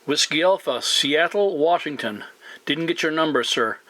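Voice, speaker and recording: A man's voice: fairly high pitch, animated, moderate pace, sharp tone, no room sound, low-quality recording. A man working as a CB radio operator and host, making formal, announcer-like contact calls with call signs, place names and phonetic spelling. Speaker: CB radio operator hosting a video channel.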